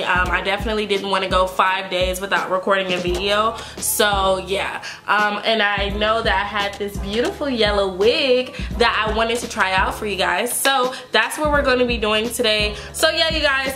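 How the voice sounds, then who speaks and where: A woman beauty vlogger with a stuffy, congested voice from a cold, chatting casually to viewers and filling her talk with "like" and "um". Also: medium pitch. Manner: chatty, filler-heavy, addresses viewers as "you guys". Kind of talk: casual wig review chat. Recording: echoey room with background music.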